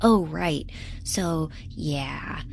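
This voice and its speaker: A woman's voice: sits low, extremely slow, creaky, a little hiss or hum, full character voice. A woman playing an earnest, awkward scientist in a naturalistic science fiction audio drama, chatty and a little hesitant. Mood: chatty, sheepish. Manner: hesitant, chatty, rambling, self-correcting.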